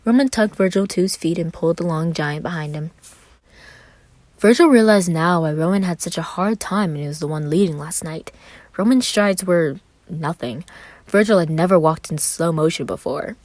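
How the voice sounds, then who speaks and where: A woman narrates a piece of fiction in a low-pitched voice, telling it expressively. The recording is dry and close, with little room sound. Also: moderate pace, a little mellow, dry recording. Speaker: fan fiction reader.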